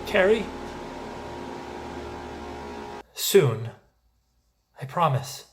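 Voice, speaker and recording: A man's voice: on the high side, big pitch swings, slow pace, slight background noise. A man acting a defensive, hard-working husband and father in a musical's dramatic dialogue. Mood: apologetic, reassuring.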